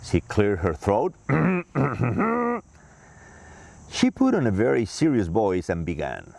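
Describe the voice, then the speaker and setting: A man narrating part of a children's book read-aloud in a measured, expressive way. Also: lowish voice, vocal fry. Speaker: co-reader.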